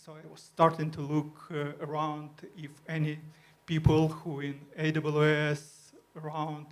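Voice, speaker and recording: A man with a Ukrainian accent and a somewhat high voice answers panel questions on a conference stage. His English is careful and halting, full of pauses and fillers, with a shy, understated delivery. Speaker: panelist, user group organizer. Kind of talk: live panel discussion. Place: conference stage before an audience.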